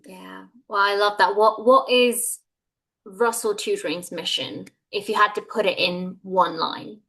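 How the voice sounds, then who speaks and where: A woman's voice: mid-range voice, fairly even intonation, a little slow, creaky, bright, forceful tone. A woman hosting a casual interview, asking questions in an enthusiastic, affirming way. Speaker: interviewer, host.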